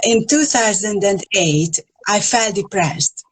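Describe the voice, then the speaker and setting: A woman from Hungary, a non-native English speaker, answering interview questions over a video call in a soft, easygoing way. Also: mid-range voice, takes it slowly, husky, rough recording. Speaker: guest, intuitive healer.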